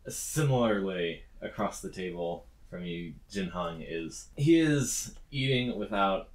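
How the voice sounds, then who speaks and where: A woman's voice: very deep voice, expressive, slow. A woman acting as game master, narrating a tabletop role-playing game in a descriptive way.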